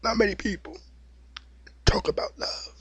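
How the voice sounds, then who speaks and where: A man with a cold and a slightly raspy voice, giving an opinionated, repetitive monologue as the host of his own video. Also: moderate pace, pushed and bright, in a whisper, poor audio. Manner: opinionated, preachy, repeats himself. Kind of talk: unscripted monologue vlog.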